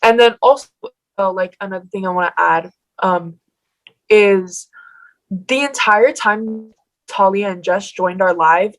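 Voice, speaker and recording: A woman's voice: mid-range voice, unhurried, poor audio. A teenage girl with a Mexican accent, a guest on a video call, talking in a chatty, upset way. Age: teens. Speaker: guest, fan page owner.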